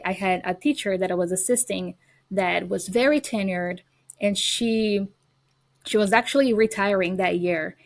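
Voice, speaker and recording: A woman with a Brazilian accent, speaking English as her second language, talks about her background as an interview guest. Her voice sits at a mid pitch, and she tells her stories earnestly, pausing on fillers and restarting her sentences. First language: Portuguese. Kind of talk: casual interview.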